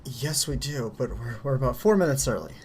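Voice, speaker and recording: A man co-hosting a live radio podcast, talking in a rambling, relaxed way with lots of "you know". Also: mid-range voice, a little fast, a little hiss or hum. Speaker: co-host. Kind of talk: casual live podcast chat.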